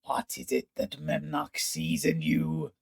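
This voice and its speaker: A man's voice: medium pitch, sing-song, slow, gravelly, breathy edge, exaggerated voice. A man voicing a scornful four-armed Vedalken villain. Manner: scornful, self-pitying, pleading when threatened. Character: jealous, cruel male four-armed Vedalken hunter.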